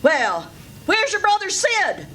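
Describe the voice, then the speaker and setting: A woman playing an excitable, doting country aunt, gushing and exclaiming in a radio play. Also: very high voice, slow pace, exaggerated voice. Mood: flustered. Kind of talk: old-time radio theatre acting.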